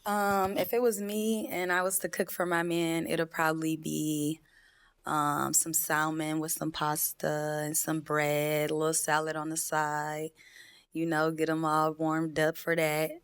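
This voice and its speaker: Low, smooth-voiced confident woman. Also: flat delivery, unhurried. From Minneapolis, Minnesota. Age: 20s. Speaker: contestant. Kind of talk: casual game show answers.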